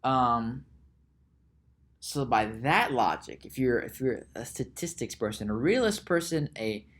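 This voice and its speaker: A man hosting a solo podcast, musing slowly aloud with frequent restarts and repeated words. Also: expressive, fairly bright tone. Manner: reads search results aloud in a low mutter. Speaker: podcast host.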